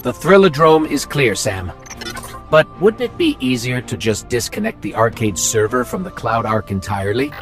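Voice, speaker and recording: A man's voice: mid-range voice, sing-song, moderate pace, faint music behind, natural voice. An actor playing an earnest, curious male robot companion in a video game, thoughtful and polite.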